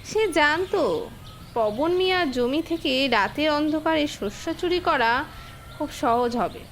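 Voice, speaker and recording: A woman's voice: high-pitched, expressive, slow pace, slight background noise. A woman giving scripted narration for a cartoon fable as the storyteller.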